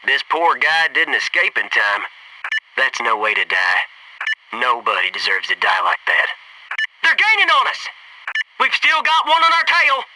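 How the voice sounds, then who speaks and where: A man's voice: medium pitch, huge pitch swings, unhurried, recorded from a distance, noisy background. A man playing a cocky, wisecracking young American Navy SEAL in a military video game, with punchy, wry delivery. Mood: somber, then urgent shouting. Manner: punchy, wry, eager banter and callouts.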